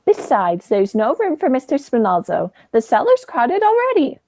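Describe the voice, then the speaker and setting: A dotty, sweet old aunt in a radio play, acted by a woman, with a high voice that swings wildly. She is prim and easily indignant, recorded very dry. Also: huge pitch swings, moderate pace, darkish tone, dry recording, heavily acted. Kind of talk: radio play acting, high school theatre.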